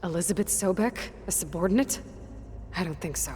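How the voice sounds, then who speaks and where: A woman's voice: on the low side, brisk, dark tone, a little hiss or hum, natural voice. A woman playing a determined, sceptical young hero in a video game, asking questions bluntly and with hesitant breaks. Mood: troubled, incredulous. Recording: long hall reverb, game music behind. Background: music.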